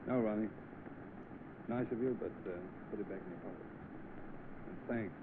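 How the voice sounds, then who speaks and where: A man's voice: lowish voice, normal speed, narrow, tinny audio, lightly acted. Man acting a polite British chauffeur, crackly old film. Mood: friendly, proud. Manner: deferential, hesitant. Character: polite, proud young chauffeur fallen on hard times. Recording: thin noisy old film soundtrack.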